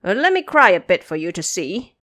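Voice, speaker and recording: A woman's voice: on the low side, very animated, a little fast, a bit bright, no echo, studio quality. A woman voicing a lazy, greedy male pig disciple in a storybook reading, whiny and joking in manner.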